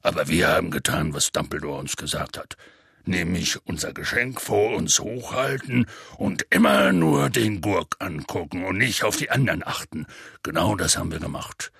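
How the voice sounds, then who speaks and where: A man voicing a huge, gruff, good-natured male half-giant who tells a rambling, folksy story in a deep gravelly rumble. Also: normal speed, fairly bright tone, no room sound, clean studio sound, exaggerated voice. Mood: telling tale. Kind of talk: audiobook character voice.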